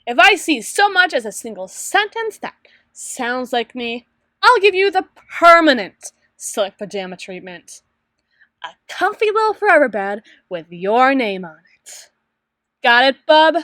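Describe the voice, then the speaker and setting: A woman in an ASMR roleplay voicing a gruff, guarded drider girl bouncer in her twenties, wry and brusque with exasperated sighs. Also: sits high, very animated, a little slow, a bit bright, no echo, heavily acted. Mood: grudging, threatening. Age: 20s. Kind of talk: ASMR roleplay audio drama. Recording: music playing in the middle distance. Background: club song playing in the middle distance.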